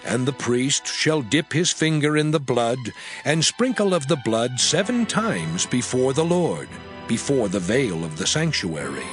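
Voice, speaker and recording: A man's voice: medium pitch, very animated, measured pace. A man giving a solemn, declamatory dramatized reading of scripture as an audio Bible narrator. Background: music under the voice.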